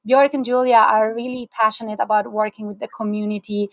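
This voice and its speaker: A woman's voice: a little high, flat delivery, fairly quick, dry recording. Upbeat woman hosting a video call. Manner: warm, upbeat, with fillers and small restarts. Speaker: host. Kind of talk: livestream webinar hosting. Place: small indoor room, plain wall.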